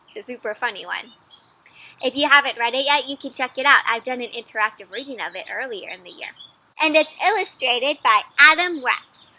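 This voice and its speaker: A woman with a high, bright voice reads a storybook aloud to children. She is animated and encouraging, stopping often to ask her young listeners questions. The delivery is that of a friendly read-aloud host. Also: rough recording. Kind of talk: interactive read-aloud for kids.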